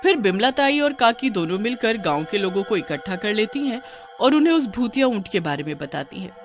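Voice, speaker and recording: A woman's voice: medium pitch, big pitch swings, a little fast, over music. A woman giving scripted storytelling narration as the narrator of a tale.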